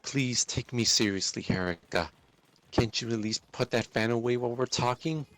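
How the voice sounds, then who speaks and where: A man plays a young woman in a dramatic story, wounded and pleading. The delivery is earnest and emotional, halting as the feeling takes over. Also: medium pitch, fairly bright tone, natural voice. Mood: pleading, concerned.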